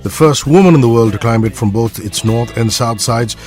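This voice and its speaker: A man's voice: mid-range voice, fairly quick, over music. A man hosting a morning radio show, chatty and joking with his guest. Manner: jokey, chatty, laughs often. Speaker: radio host. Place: radio studio.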